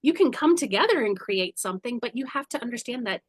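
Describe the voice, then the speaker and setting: The animated guest on a spiritual podcast, a woman talking bluntly about her own life in a dry, close-sounding studio. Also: fairly high pitch, fast, breathy edge, no echo. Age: 40s. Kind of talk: candid personal storytelling in conversation.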